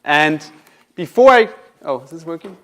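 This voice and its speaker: A man giving an academic lecture as guest speaker, relaxed and conversational with frequent fillers and a loud voice. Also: on the high side, moderate pace.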